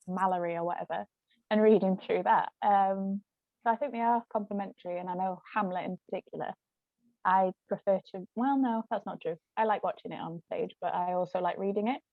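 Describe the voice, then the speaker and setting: A woman chatting in a rambling way as a guest in a casual discussion. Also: fairly low pitch. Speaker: guest author and actress. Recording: muffled lo-fi phone-like audio, roomy echo.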